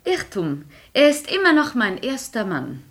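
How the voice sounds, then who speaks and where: An actress playing a witty, cynical high-society lady in a 1960s radio play, teasing and ironic. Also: wildly swooping pitch, a little slow, full character voice. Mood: arch, mocking.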